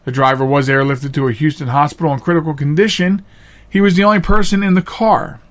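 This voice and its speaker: Guy doing a podcast solo, kinda higher voice, earnest and plain spoken, halting, repeats himself to make the point. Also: fairly even intonation. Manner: halting, with frequent repetition of phrases for emphasis. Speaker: podcast host. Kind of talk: casual unscripted podcast monologue.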